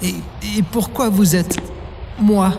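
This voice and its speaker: A man's voice: high-pitched, steady tone, slow, over music. An actor playing a listless, confused young man in an audio drama, hesitant and mumbling. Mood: bewildered. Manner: short mumbled replies, hesitant. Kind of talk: French audio drama acting.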